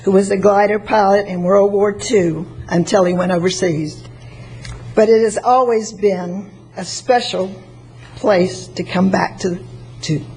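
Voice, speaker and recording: A woman in her sixties from Florida with a soft voice, reading a prepared statement at a public hearing. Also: mid-range voice, steady tone, measured pace, rough recording. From Florida, United States. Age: 60s. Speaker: member of the public giving testimony. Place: public meeting chamber at a podium microphone. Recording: faint background noise.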